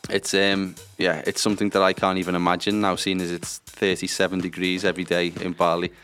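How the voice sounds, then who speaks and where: A man's voice: deep voice, level tone, brisk, a bit bright. A man hosting a casual interview, chatty, rephrasing his questions as he goes. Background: music.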